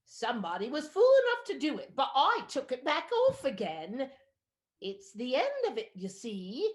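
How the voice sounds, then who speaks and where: A woman's voice: high-pitched, big pitch swings, moderate pace. A woman playing a brazen, gloating charwoman who scavenges, in a radio play. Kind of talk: stage-style radio play acting.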